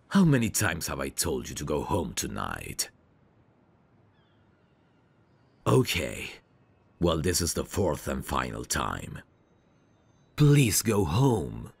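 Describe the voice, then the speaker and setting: A man playing a protective, self-assured, flirtatious mafia heir in an ASMR roleplay, commanding and smooth-talking. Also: very deep voice, no room sound, exaggerated voice. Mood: exasperated.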